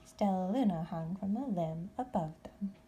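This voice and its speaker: A woman reading a children's picture book aloud as an expressive storyteller. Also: mid-range voice, moderate pace, a little hiss or hum. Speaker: storybook reader.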